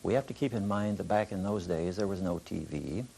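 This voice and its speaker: An older man reminiscing in an interview, in a halting, folksy way. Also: deep voice, gravelly, warm tone, a little hiss or hum, low-quality recording. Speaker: interviewee recalling early local radio.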